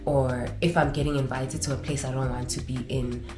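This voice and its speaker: Vlogger, woman, young adult, low voice, nasal and stuffed up, echoey room with music underneath. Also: little pitch movement, a little fast. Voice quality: nasal from congestion. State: congested. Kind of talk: casual advice vlog.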